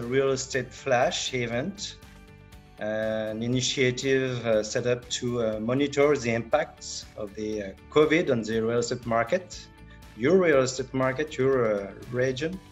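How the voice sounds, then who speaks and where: A man giving a webinar presentation on market statistics. He speaks haltingly and fills nearly every pause with "uh". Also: mid-range voice. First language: French. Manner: halting, with constant filler pauses. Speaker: market analysis director presenting.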